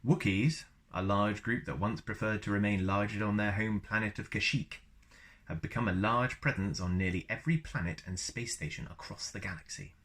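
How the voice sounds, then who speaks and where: A man reads aloud in a fairly low, bright voice. He narrates like a storyteller and drops in playful, casual asides for young listeners. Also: steady tone, a little fast. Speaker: storyteller reading a book aloud for a video channel.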